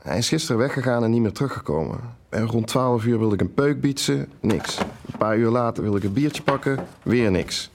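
An actor playing a slangy, gossipy young night-owl housemate in an audio drama, dropping in English phrases. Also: male voice, mid-range voice, expressive, brisk. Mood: animated.

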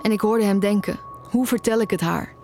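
A woman's voice: mid-range voice, a little fast, darkish tone, close to an everyday voice. Actress as young female music student, nearly blind, anxious and insistent, radio play. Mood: reflective narration. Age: young adult. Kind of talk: audio drama dialogue and narration.

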